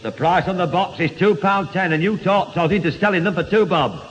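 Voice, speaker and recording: A man's voice: sits high, a little fast, natural voice. A man playing a blustering middle-aged father, blunt and accusing, in a radio sitcom. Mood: indignant, accusing. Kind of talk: 1970 radio sitcom acting. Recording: old radio, long reverb, faint noise.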